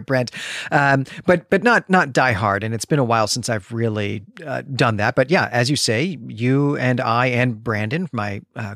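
A man's voice: mid-range voice, a bit bright, no echo, studio quality. A man co-hosting a literary podcast in a chatty, explanatory, digressive conversational way. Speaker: podcast co-host.

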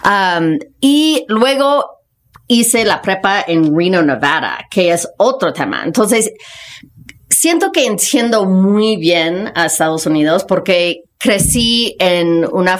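A woman in her fifties from the United States, a podcast guest, speaks Spanish with an American accent. She talks frankly in casual slang and drops English words into her speech. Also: fairly low pitch. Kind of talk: casual conversational storytelling.

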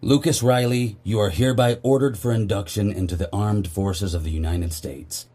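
Guy doing a gruff by-the-book army officer for a radio play, low n raspy, dry, bossy. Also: fairly even intonation, moderate pace, mellow, lightly acted. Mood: commanding. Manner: dry, authoritative. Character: stern, dry, duty-bound male army officer. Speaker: commanding officer character. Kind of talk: audio drama dialogue.

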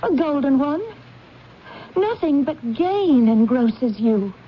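An actress playing a sorrowful, dignified young woman in a 1970s radio drama, speaking in an earnest, measured, formal way. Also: high voice, animated. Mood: sad reproach. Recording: dull radio broadcast with faint noise.

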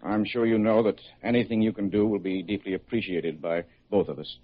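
A man's voice: lowish voice, steady tone, fairly quick, lightly acted. A man acting a formal, grateful, wealthy older father in a classic radio drama, with stately courtesy. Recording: muffled old radio recording.

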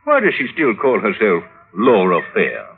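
An actor playing a courtly, smitten Southern gentleman suitor of the 1870s, with flowery, courteous speech, in old radio drama style. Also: on the low side, wildly swooping pitch, moderate pace. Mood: courtly, admiring. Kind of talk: 1950s radio drama acting. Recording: old narrowband radio recording, faint noise.

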